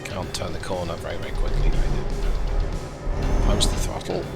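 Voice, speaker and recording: A man giving casual gameplay commentary, thinking aloud with restarts, his voice slightly croaky. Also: low voice, normal speed. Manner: relaxed, thinking aloud, frequent ums and restarts. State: slightly croaky from lack of fluids. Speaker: gaming video host. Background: loud music and truck game sounds.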